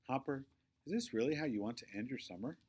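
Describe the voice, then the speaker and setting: A man's voice: medium pitch, lively intonation, fast, darkish tone, slightly rough. Male reader as exasperated storybook mom. Firm, admonishing, stern, medium register. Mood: reproachful. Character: stern, exasperated mother scolding her young son.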